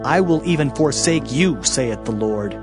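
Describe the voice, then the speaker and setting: Animated, declamatory male Bible narrator. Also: medium pitch, measured pace. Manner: solemn, declamatory, emphatic. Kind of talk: dramatized King James scripture reading. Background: background music.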